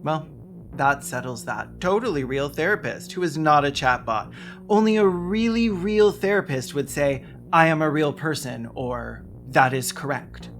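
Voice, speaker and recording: A video essayist narrating a script with sarcastic, deadpan comic asides. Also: mid-range voice, measured pace, slight background noise. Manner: sarcastic, wry deadpan jokes.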